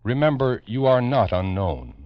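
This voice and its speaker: An American man narrating a true crime radio show in a grave, emphatic 1950s style, addressing the listener urgently with dramatic pauses. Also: medium pitch, sing-song. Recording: dull vintage 1950s radio recording.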